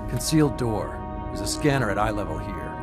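An actor playing a desperate, self-justifying military research scientist in a science fiction motion comic, speaking in intense, clipped explanations. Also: male voice, lowish voice, expressive, brisk, loud music behind the voice, lightly acted. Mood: grim, explanatory. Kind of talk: dramatic motion comic acting.

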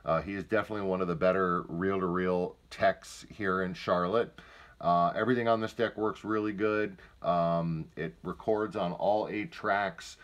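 Low-pitched man, casual demonstration. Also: steady tone, normal speed, dull audio.

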